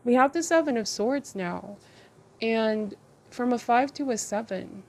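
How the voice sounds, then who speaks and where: A woman hosting a tarot reading, speaking to viewers in short measured phrases with a reflective, advising manner. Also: on the high side, darkish tone. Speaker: tarot reader and channel host.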